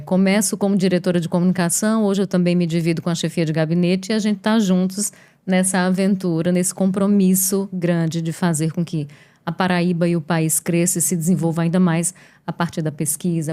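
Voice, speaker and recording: Low, mellow-voiced female journalist co-host. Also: steady tone, brisk. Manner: playful, engaging, prompting the guest. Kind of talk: podcast co-hosting.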